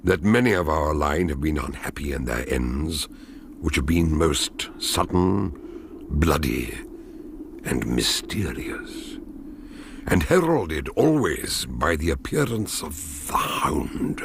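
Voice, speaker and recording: An actor playing a grave British ancestor who solemnly recounts a dreadful family legend in a gothic radio drama. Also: male voice, very deep voice, very animated, gravelly, noisy background, full character voice. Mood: grave warning. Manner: slow-building, ominous, solemn, archaic storytelling.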